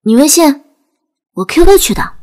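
A woman's voice: high-pitched, normal speed, voice pushed up. A woman voicing an aloof, wry young woman with curt replies in an audiobook. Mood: deadpan, dismissive. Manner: cool, curt, deadpan replies. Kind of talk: audiobook character acting.

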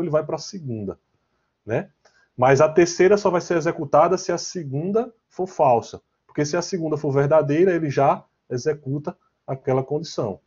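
A Brazilian man teaches in a fairly high, husky voice. He talks informally, as a lecturer does over slides, and keeps tossing in short check-in questions. The sound is slightly muffled and lo-fi, like an online class. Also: a little mellow. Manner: conversational, often checking with question tags. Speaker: programming teacher. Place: online class, screen share. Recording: dull, lo-fi online class audio.

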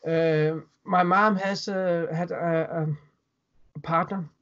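A man's voice: a little high, steady tone, takes it slowly, fairly bright tone. A man in his thirties from Denmark with a Danish accent, speaking as a guest in a casual video-call interview and often repeating words as he recounts. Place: home room indoors. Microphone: webcam on video call. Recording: dull lo-fi video call audio.

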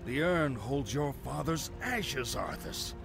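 An actor playing an indignant, scornful old paladin in a fantasy game cutscene. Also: male voice, medium pitch, expressive, slow, creaky, over music.

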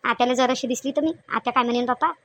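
A woman's voice: on the high side, fairly even intonation, very fast, a bit bright, dry recording, close to an everyday voice. A woman voicing a shy, naive young newlywed wife in a cartoon, fretful and exclaiming, with a Varhadi Marathi accent from Vidarbha. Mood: bashful worry. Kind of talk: cartoon dialogue acting in colloquial dialect.

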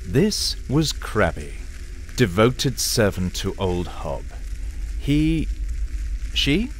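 A man playing a storyteller, narrating a comic audio play in a measured, dramatic voice. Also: fairly low pitch, big pitch swings, slight background noise, exaggerated voice. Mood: grand announcing. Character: grand, deadpan male storyteller of a comic tale. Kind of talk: theatrical scripted narration.

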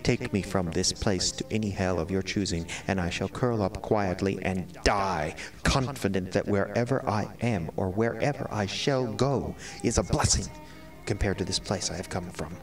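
An actor playing an eloquent, melodramatic cat who sees himself as a prisoner, speaking in formal, florid, theatrical monologue. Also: male voice, deep voice, moderate pace, far from the mic. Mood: earnest pleading. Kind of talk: dramatic first-person audio drama monologue. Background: music.

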